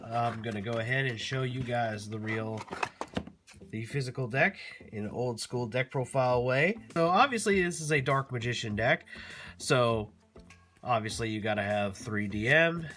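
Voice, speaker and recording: A man's voice: mid-range voice, normal speed, a bit bright. A man hosting a card game channel, talking casually and upbeat to viewers while showing a deck. He sounds nasal from allergies and chats with lots of filler and restarts.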